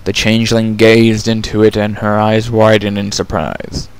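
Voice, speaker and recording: A man's voice: lowish voice, monotone, normal speed, smooth. A man narrating a fantasy story as a scripted dramatic reading.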